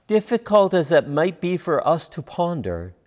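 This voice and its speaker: An older man delivering a measured, scripted sermon as a preacher, deliberate in his phrasing. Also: fairly high pitch, big pitch swings, darkish tone. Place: large church sanctuary, at a lectern. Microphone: lapel mic. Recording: long church reverb.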